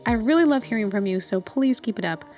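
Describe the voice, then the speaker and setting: A woman's voice: on the high side, lively intonation, quick pace, darkish tone, faint music behind. A woman hosting a podcast, talking in a reflective, thoughtful way and phrasing her ideas tentatively. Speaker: podcast host.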